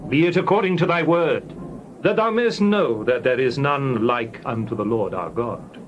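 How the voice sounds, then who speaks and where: A man's voice: mid-range voice, lively intonation, moderate pace, lo-fi sound, slight background noise, natural voice. A man playing an earnest prophet addressing a king in a dramatized scripture reading, formal and declamatory. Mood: solemn assurance.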